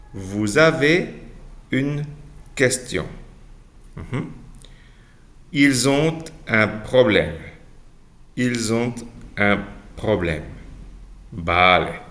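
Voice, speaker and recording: Slow, deliberate male teacher. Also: mid-range voice, animated, a bit bright. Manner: models each phrase slowly and repeats it, correcting pronunciation in between. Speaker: French teacher. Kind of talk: slow drilling of model phrases for repetition.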